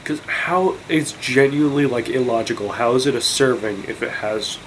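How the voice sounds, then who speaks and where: A man's voice: medium pitch, moderate pace, a bit bright, a little hiss or hum, rough recording. A congested young man vlogging, rambling and incredulous, with many fillers and restarts. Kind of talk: casual rant to camera.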